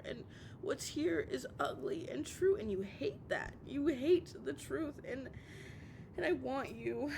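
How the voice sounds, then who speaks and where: Woman acting a slow, drunk, tearful heartbroken young woman. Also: high voice, creaky, background noise, exaggerated voice. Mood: drunken longing. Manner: halting, stammering, repeating herself. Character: drunk, heartbroken, obsessive young woman confronting her lover. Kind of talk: intimate relationship audio roleplay monologue.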